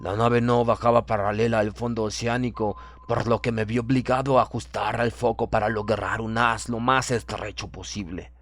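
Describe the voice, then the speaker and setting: A man narrating a horror story in the first person, in a measured, formal, dramatic manner. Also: fairly low pitch, fairly even intonation, fairly bright tone. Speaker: audiobook narrator. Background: music.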